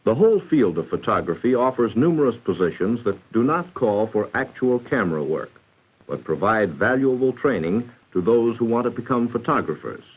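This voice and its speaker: A man's voice: medium pitch, expressive, moderate pace, breathy edge, narrow, tinny audio. An American man reading scripted 1940s educational film narration in a formal, instructive, carefully enunciated way, on an old film soundtrack. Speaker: narrator of a vocational training film.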